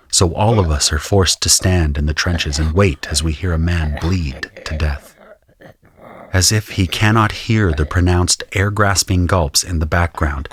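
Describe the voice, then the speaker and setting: A man playing a grim, observant conscript soldier who narrates an audio drama in a measured, solemn way. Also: low voice. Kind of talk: first-person scripted audio drama narration.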